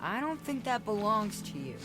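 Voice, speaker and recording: A woman's voice: mid-range voice, very animated, normal speed, music in the background, close to an everyday voice. A woman playing a bossy, sassy young child in a video game, talking matter-of-factly. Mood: sassy, guarded. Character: bossy young child, loyal to president father. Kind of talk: video game character acting.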